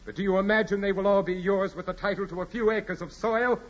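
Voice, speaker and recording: An actor playing a proud, imperious aristocratic landowner with formal, measured speech in a 1940s radio drama. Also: male voice, high voice, fairly even intonation, lightly acted. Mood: imperious, cold. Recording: muffled 1940s radio recording with music.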